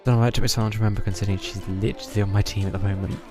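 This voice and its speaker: A man's voice: fairly low pitch, fairly even intonation, rapid-fire, over music. A man giving casual, rambling live commentary as he plays a game. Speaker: game streamer.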